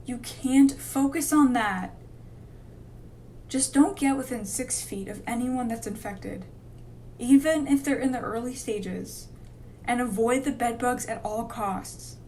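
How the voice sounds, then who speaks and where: A woman's voice: fairly high pitch, takes it slowly, warm tone, slight background noise. A woman playing a determined, serious infectious-disease doctor in a radio drama. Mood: firm, determined. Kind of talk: amateur radio drama dialogue.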